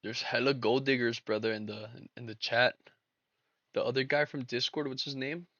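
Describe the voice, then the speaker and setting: A man's voice: mid-range voice, steady tone, normal speed, a bit bright, no room sound, lo-fi sound. Slangy young man streaming his trades. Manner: slangy, laid-back, talks to chat as boys and brother. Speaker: livestream trader and host. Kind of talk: casual live-stream chat while trading.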